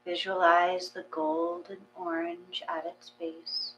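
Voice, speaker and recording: A woman reading a guided meditation in a gentle, measured, calming way. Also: on the low side, poor audio. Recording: clear mains hum.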